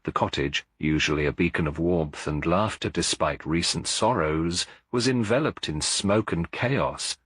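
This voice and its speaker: Male narrator for mystery audiobook: low, slightly husky, measured storytelling. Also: fairly bright tone, dry recording, clean studio sound.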